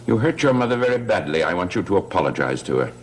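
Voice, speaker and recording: A man's voice: low voice, very animated, fast talker. An actor playing a stern, menacing middle-aged stepfather in a gothic soap opera. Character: scheming stepfather, menacing man married into a wealthy family.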